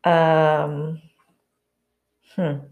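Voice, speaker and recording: Female co-host, relaxed anecdotes full of fillers. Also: sits low, drawn-out words, lo-fi sound. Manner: relaxed storytelling with many fillers. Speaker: podcast co-host. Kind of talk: casual chat.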